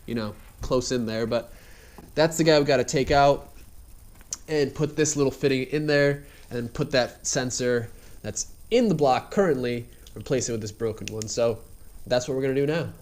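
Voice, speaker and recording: A man's voice: medium pitch, moderate pace, darkish tone. A man with a congested, slightly nasal voice talks casually through his car build while he works, heard through a head-mounted camera mic in a garage. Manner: laid-back, rambling, filler-heavy. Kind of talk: casual how-to narration while working. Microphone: head-mounted action camera.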